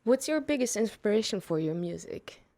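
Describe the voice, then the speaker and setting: A woman's voice: medium pitch, animated, normal speed, vocal fry, dark tone. German lady interviewer, careful questions, mid-range voice. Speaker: radio interviewer.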